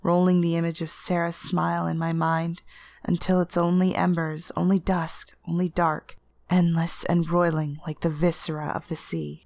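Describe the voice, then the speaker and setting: A woman reading literary first-person fiction as narrator, with an intense, deliberate and expressive dramatic delivery. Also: lowish voice, no echo. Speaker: story narrator.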